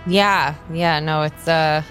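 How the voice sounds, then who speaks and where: A woman playing a casual, friendly young tarot reader in an improvised audio drama, talking in a halting, conversational way. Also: low voice, fairly even intonation, fairly bright tone, soft background music.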